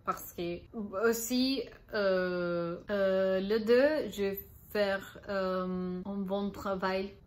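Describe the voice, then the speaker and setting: A woman with a Spanish accent, a non-native speaker vlogging unscripted, halting and searching for words as she corrects herself. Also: mid-range voice, fairly even intonation. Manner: laughs at her own mistakes. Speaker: language-learning vlogger. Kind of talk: unscripted learner's update vlog.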